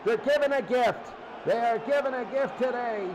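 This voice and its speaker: High-voiced man calling football over noise. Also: normal speed, crowd noise. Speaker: play-by-play sports commentator. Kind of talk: American football radio-style play-by-play commentary.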